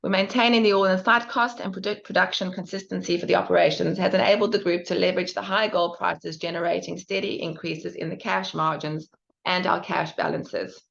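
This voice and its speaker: A woman's voice: on the low side, fairly even intonation, a little fast, creaky, fairly bright tone. A woman presenting financial results as an executive, reading figures formally and carefully with small stumbles. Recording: dull, band-limited conference call audio.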